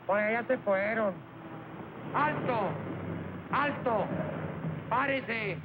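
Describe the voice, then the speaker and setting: An urgent lawman in an old film. His voice is extremely high for a man, over a noisy, narrow-band soundtrack. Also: takes it slowly.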